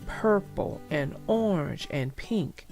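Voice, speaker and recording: A woman reading a children's story aloud in a deliberate, expressive storytelling manner, with music behind her. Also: sits low. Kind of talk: read-aloud storybook narration.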